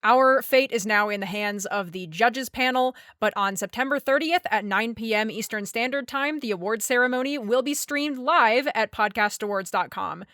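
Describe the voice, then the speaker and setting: A woman's voice: fairly high pitch, normal speed, hardly any pauses, sharp tone, no echo, studio quality. A woman giving a spoken announcement to listeners as a podcast announcer. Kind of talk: spoken announcement before an episode.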